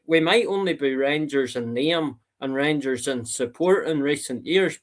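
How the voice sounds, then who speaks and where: A man with a Northern Irish accent, a podcast guest talking football with passion and emphasis. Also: fairly high pitch. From Northern Ireland. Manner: fired-up, emphatic.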